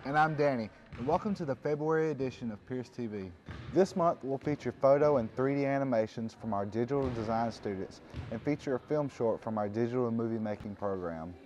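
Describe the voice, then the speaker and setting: A man reads a scripted program introduction as co-host. His pitch is average, and there is faint ambient noise behind him. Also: a little fast, darkish tone, highs cut off. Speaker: co-host of college TV show.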